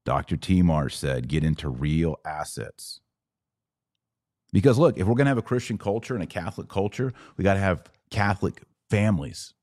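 A man from Texas with a very low voice, recorded close and dry. He talks like a podcast host on a live stream, casual and folksy, pressing his points with repetition as he responds to comments. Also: animated, normal speed, darkish tone, no room sound.

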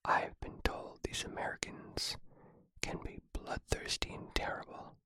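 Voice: measured pace, bright, forceful tone, no room sound. A reader voicing a gloomy, frightened male storybook bandit in a dramatic whisper. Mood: doomed dread.

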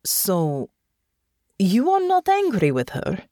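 A blunt, caring foreign woman, acted out by a female narrator in a story reading. She talks very slowly and deliberately, leaving out little words, with expressive swings in pitch. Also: no echo, studio quality. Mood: appalled. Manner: slow, deliberate, dropping articles.

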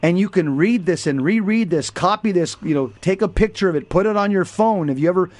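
Man, radio co-host, earnest and emphatic, fairly high voice, close dry studio mic. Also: expressive, brisk, a little mellow, dry recording. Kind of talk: radio talk show storytelling and teaching.

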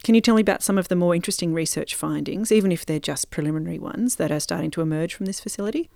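A woman's voice: fairly low pitch, very fast, vocal fry, darkish tone. A woman hosting a podcast, explaining things informally and speaking straight to listeners between interview questions. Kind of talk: conversational narration and interview.

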